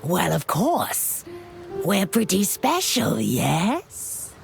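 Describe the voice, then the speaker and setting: Proud creaky old tribe woman in a game, super slow and breathy. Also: fairly low pitch, huge pitch swings, heavily acted. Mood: proud, doting. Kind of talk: dramatic fantasy game acting. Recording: faint music under the voice.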